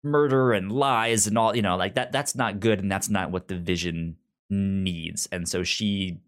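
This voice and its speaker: A man's voice: deep voice, fairly even intonation, a little slow. A man co-hosting a podcast in casual chat, often stammering and repeating sounds as he explains. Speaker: podcast co-host. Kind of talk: casual chat reviewing a comic book.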